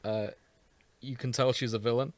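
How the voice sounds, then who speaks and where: A man's voice: mid-range voice, fairly even intonation, moderate pace. A man hosting a casual chat podcast, explaining a story in a storytelling way. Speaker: podcast host.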